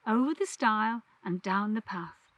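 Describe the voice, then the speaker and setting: A woman voicing a gentle, encouraging mother in a storybook reading. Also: mid-range voice, expressive, moderate pace, no room sound, close to an everyday voice. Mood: brisk calling.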